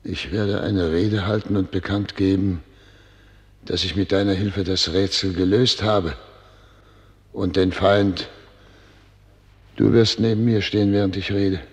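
A man's voice: sits low, fairly even intonation, a little slow, long pauses. An actor playing a solemn, disillusioned ruler who addresses his ministers in a grand, rhetorical radio drama style. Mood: resolute. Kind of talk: theatrical radio drama oratory. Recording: echoey hall, reverberant studio radio drama.

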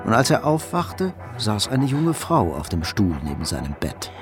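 A man's voice: fairly low pitch, sing-song, normal speed, music in the background. A man narrating a crime radio play in a cool, matter-of-fact, dry way.

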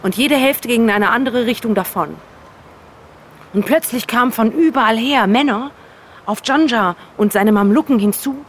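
An actress playing a storyteller who tells a strange fairy tale in an emphatic, projected way. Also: female voice, a little high, moderate pace, lightly acted. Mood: dramatic, emphatic. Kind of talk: spoken fairy-tale narration, outdoor encounter. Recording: noisy location recording with ambient hum.

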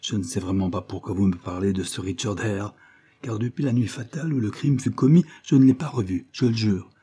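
A man reading an audiobook, voicing a brash, quick-tempered young maid in a raised, vehement voice. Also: warm tone, husky. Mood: heated. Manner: high-pitched, vehement, indignant. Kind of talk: audiobook character voice.

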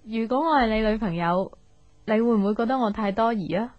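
An actress with a Hong Kong Cantonese accent playing a pensive, soft-hearted young woman unsure in love, reflective and earnest in an intimate radio drama. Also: on the high side, brisk, lightly acted. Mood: hesitant, probing. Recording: dull radio recording, music underneath.

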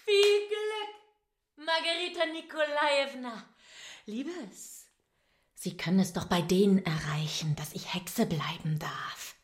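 Excitable witch maid, unhurried delivery. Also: a little high. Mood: pleading, excited. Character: excitable, pleading maid who has become a witch. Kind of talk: radio drama acting.

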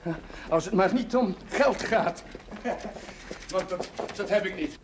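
Gentle village chaplain, fairly high male voice, hesitant, faintly noisy TV soundtrack. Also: slow pace. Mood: reassuring. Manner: gentle, reassuring, a little hesitant. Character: kindly, slightly flustered village chaplain. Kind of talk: naturalistic television drama acting.

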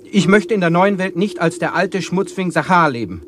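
An actor playing a humble man who asks for a new name, in a radio play, speaking slowly and deliberately. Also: high-pitched, expressive, noisy background. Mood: earnest. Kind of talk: 1980s radio drama acting.

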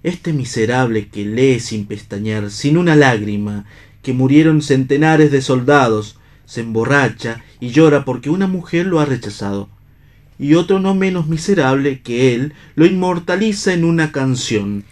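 A man's voice: mid-range voice, animated, normal speed, darkish tone, natural voice. An Argentine man playing a bitter, idealistic, disillusioned stage actor in a radio theatre reading. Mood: bitter, scornful.

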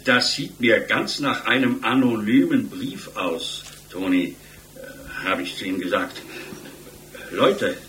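A man's voice: lowish voice, animated, takes it slowly, fairly bright tone. An actor playing a chatty, slyly knowing village postman, hesitant and repetitive, heard as a taped statement in a radio play. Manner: drawling, hesitant, repetitive, chuckling. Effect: tape playback. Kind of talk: 1960s German radio play acting, witness statement on tape. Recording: noisy taped playback.

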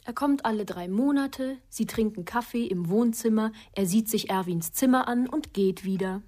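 A woman's voice: fairly high pitch, moderate pace, warm tone, natural voice. An actress playing a guarded, fearful young woman in a radio crime drama, speaking in short, reticent statements. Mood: guarded, flat. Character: guarded, fearful young woman protecting her half-brother.

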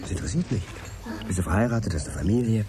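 An actor playing an inquisitive, courteous middle-aged journalist in a naturalistic radio drama, probing and earnest in conversation. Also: male voice, lowish voice, animated, rapid-fire, natural voice. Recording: crowd chatter behind, café scene. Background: café crowd chatter.

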